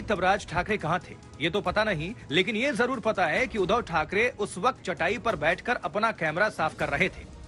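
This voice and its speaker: A man's voice: high-pitched, pushed and bright. A man reading scripted television news narration in an emphatic, dramatic manner. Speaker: news reporter narrating a video package. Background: music bed.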